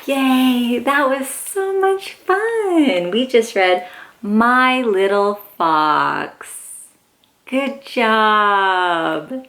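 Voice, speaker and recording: A woman reading a picture book aloud to young children as a host, with excited, encouraging exclamations. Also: fairly high pitch, extremely slow.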